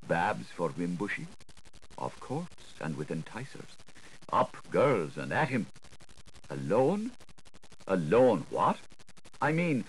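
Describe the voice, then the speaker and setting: Guy reading an audiobook, super animated and theatrical, lowish voice, bit of scratchy noise on the recording. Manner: theatrical, playful, shifting between questioning and answering voices. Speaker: audiobook reader. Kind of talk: dramatic literary narration.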